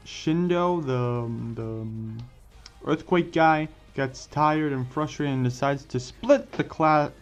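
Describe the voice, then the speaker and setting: A man hosting and narrating a scripted fan story for an online video, stumbling over and repeating words as he reads. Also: fairly high pitch. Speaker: host and narrator of a what-if story series. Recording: muffled lo-fi voice over background music. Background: music.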